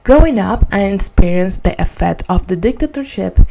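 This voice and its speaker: A woman from Venezuela with a Spanish accent gives a prepared motivational presentation in earnest, deliberate non-native English. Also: mid-range voice, animated, gravelly, background noise. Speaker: student giving a personal speech.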